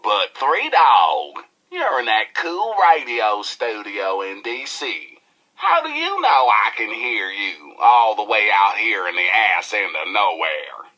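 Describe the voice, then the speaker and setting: An actor playing a hip, irreverent post-apocalyptic radio DJ with flamboyant, slangy, playful patter. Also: male voice, on the low side, huge pitch swings, slow pace, sharp tone, dull audio, exaggerated voice. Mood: exuberant. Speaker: radio disc jockey.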